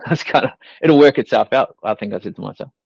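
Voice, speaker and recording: A man's voice: mid-range voice, lively intonation, fast talker, creaky, a little mellow, slightly rough, dry recording. A young man with a New Zealand accent, a fitness coach chatting as a podcast guest, rambling loosely and leaning on fillers like "you know" and "like". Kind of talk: casual podcast interview. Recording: dull dry studio sound.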